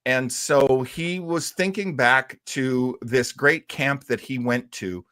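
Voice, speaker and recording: A man co-hosting a live talk show about old radio, telling its history as an expressive storyteller. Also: mid-range voice, slow. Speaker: co-host.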